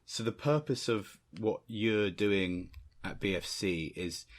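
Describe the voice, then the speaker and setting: A man's voice: lowish voice, takes it slowly. A man hosting an interview podcast, asking conversational, loosely phrased questions. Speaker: podcast host.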